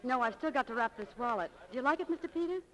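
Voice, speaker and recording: A woman's voice: on the high side, lively intonation, very fast, close to an everyday voice, low-quality recording. An actress playing a candid, romantic young shopgirl in a 1940s radio drama, breezy and confiding. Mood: excited. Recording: vintage 1940s radio recording.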